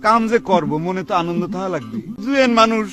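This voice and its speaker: A man's voice: sits high, quick pace. A man making a colloquial comic complaint.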